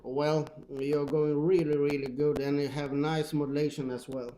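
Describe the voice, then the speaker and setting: A man with a Swedish accent, speaking English as a second language, chats casually and haltingly as a radio hobbyist. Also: fairly high pitch, level tone, warm tone, a little hiss or hum, low-quality recording. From Sweden. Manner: halting, hesitant. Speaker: CB radio operator. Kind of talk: casual two-way radio chat. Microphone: old radio microphone.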